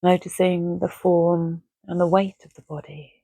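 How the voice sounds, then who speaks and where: A woman guiding a relaxation meditation in a gentle, measured, soothing way, leaving long pauses between phrases. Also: fairly low pitch, steady tone, vocal fry, no room sound. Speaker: meditation guide. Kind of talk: guided Yoga Nidra relaxation, soothing and measured.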